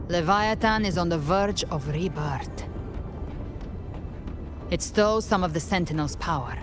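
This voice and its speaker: An actress playing a cool, guarded avenger in a video game, speaking in a grave, measured, secretive manner. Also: female voice, fairly low pitch, close to an everyday voice. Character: cool, guarded female avenger and monster hunter. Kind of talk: scripted video game voice acting.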